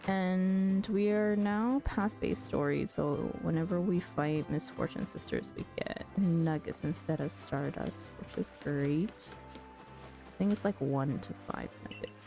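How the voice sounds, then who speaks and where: Low, creaky-voiced woman streamer. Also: darkish tone. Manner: chatty, friendly, thinks aloud. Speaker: variety game streamer and host. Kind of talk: casual live-stream chat with game commentary.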